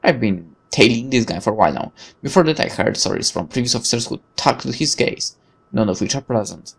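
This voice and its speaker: A man reading a visual novel's narration aloud in a wry, measured storytelling way. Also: medium pitch, expressive, vocal fry, husky. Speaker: gaming video narrator.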